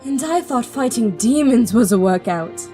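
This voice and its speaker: A woman's voice: a little high, lively intonation, moderate pace, warm tone, soft background music, close to an everyday voice. A woman acting in an audio drama as a formal, self-doubting young princess with an earnest manner. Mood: teasing, annoyed.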